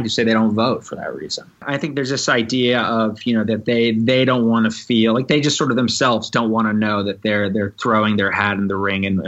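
A man answering interview questions as a guest, thoughtful and measured, with many "you know" fillers. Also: on the low side, a bit bright, muffled recording. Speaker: podcast guest, novelist and former Marine.

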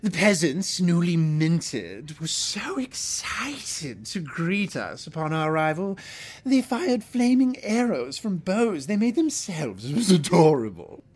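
A man's voice: fairly high pitch, sing-song, slow, slightly rough, exaggerated voice. A man playing a vain, flirtatious deposed alien prince in an audio drama, with a pompous, theatrical way of talking. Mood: haughty, airy. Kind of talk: audio fiction acting.

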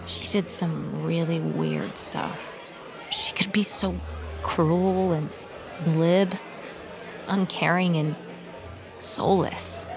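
Slow, hesitant young woman over music. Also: fairly low pitch, animated, creaky, a crowd in the background, full character voice. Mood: bitter. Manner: hesitant, halting, careful. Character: earnest, guarded young woman trying to reform herself. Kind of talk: audio drama acting, naturalistic dialogue. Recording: music behind the voice.

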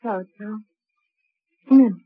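A woman's voice: medium pitch, unhurried, natural voice. An actress playing a grieving, stoic policeman's wife, restrained and sincere, in a 1950s radio crime drama. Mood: composed sorrow. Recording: dull, muffled old radio recording.

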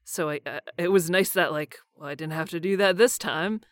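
A woman's voice: fairly low pitch, a little fast, no echo, clean studio sound. A woman voice actor being interviewed, chatting casually and peppering her talk with "like". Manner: frequent filler "like". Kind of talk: casual chat.

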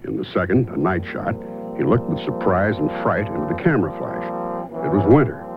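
A man's voice: sits low, animated, a little fast. A man playing a terse, probing detective who narrates his case and questions people in a 1970s radio mystery. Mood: measured, intent. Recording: muffled vintage radio broadcast, loud music behind.

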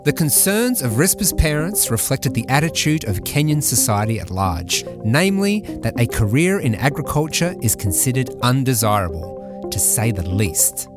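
A man hosting an agriculture podcast, reading scripted narration in a measured way. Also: mid-range voice, very animated. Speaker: podcast host. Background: background music.

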